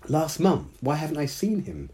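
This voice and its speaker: A man voicing an anxious, excitable young female mermaid in a storybook reading. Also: medium pitch, normal speed, voice pushed up, lightly acted. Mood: startled. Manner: emotional, pleading.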